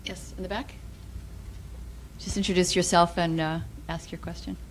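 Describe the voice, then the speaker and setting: A woman's voice: fairly low pitch, flat delivery, moderate pace, slight background noise, rough recording. A woman moderating a discussion at a conference, speaking as the session's chair.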